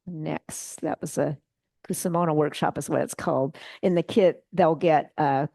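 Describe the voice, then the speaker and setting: Low, gravelly woman, chatty slide talk. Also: moderate pace, no echo. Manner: relaxed, chatty, many fillers and restarts. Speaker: guest presenter at a bonsai club meeting. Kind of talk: casual slide-show talk.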